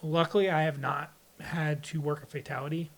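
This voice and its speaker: A man's voice: fairly high pitch, little pitch movement, a little fast, creaky. A man speaking as a podcast guest in a candid interview, explaining things in a plainspoken, reflective way. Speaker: podcast guest, air traffic controller.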